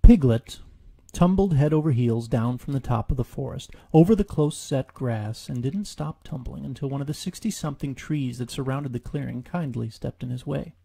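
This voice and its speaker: A man narrates a storybook in a measured, expressive way. The voice is very dark and creaky, with a breathy husk to it, like an audiobook read by its author. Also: medium pitch. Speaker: author reading own story.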